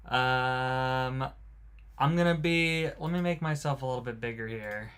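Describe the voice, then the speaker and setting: A man's voice: mid-range voice, takes it slowly, fairly bright tone, clear, resonant tone. A man hosting a live watch-along, chatting casually with viewers, joking and greeting them by name. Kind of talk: casual live-stream commentary.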